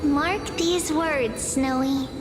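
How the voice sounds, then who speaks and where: A woman's voice: sits high, drawn-out words. A woman voicing a sweet, playful little girl mentor in a fantasy game, tender and wistful. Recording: long hall reverb under music.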